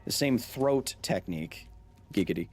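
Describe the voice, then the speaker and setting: Excitable man streaming a game. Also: fairly low pitch, measured pace. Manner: goofy, excitable, shouts at the game. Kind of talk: live gameplay commentary.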